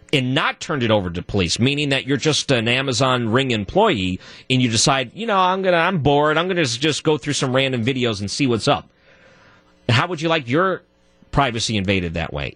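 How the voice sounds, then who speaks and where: A man with a deep voice, guest hosting a talk radio show and arguing his point alone, his voice jumping higher when he gets worked up. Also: no room sound. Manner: animated, voice jumps high when worked up. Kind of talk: solo talk radio monologue.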